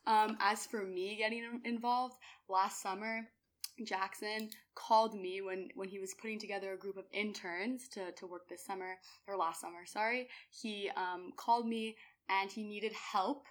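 A young woman speaks as a guest in a casual interview. Her delivery is earnest and conversational, with a fairly even pitch that moves little. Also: moderate pace. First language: bilingual in French. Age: young adult. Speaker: podcast guest, youth volunteer.

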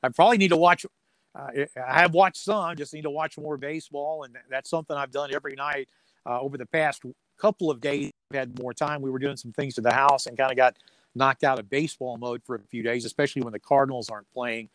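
A man's voice: medium pitch, fairly even intonation, fast talker, fairly bright tone, no echo. A man speaking as a sports announcer being interviewed, chatting casually in a folksy, joking way with dry humour. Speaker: radio sports play-by-play announcer as interview guest.

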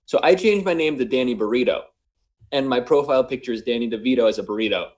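A man with a Michigan accent co-hosting a casual podcast chat, excitable and laughing often. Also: medium pitch, quick pace. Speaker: podcast co-host.

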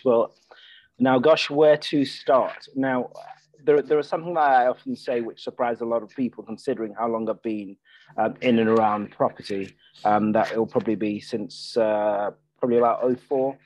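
A man's voice: mid-range voice, fairly even intonation, moderate pace, darkish tone, lo-fi sound. A man guest in a casual property podcast interview, talking with frequent fillers and restarts. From Nigeria, Ireland and the UK. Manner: hesitant, many restarts and fillers.

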